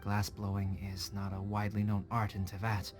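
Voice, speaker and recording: A man voicing a calm, scholarly young alchemist in a video game, speaking in a measured, articulate, contemplative way. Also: lowish voice, natural voice. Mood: calm, explanatory. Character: calm, scholarly young male alchemist, artificially created human. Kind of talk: scripted English video game voice acting. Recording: prominent game music behind voice.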